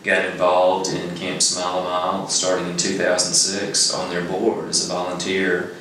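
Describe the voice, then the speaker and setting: A man's voice: sits low, completely flat delivery, normal speed, a bit bright. A middle-aged man speaking earnestly in a conversational interview as a nonprofit director. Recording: echoey room, distant mic, faint noise.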